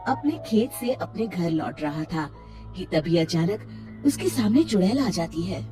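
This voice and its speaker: Expressive woman narrating a cartoon tale. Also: on the low side, measured pace, music in the background. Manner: expressive storytelling. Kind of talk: scripted storybook narration.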